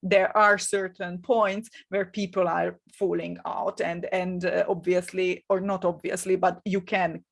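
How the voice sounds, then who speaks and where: A middle-aged woman with a Hungarian accent, coaching in an energetic, encouraging webinar talk, often repeating words and pausing with fillers. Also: fairly low pitch, gravelly. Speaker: marketing coach.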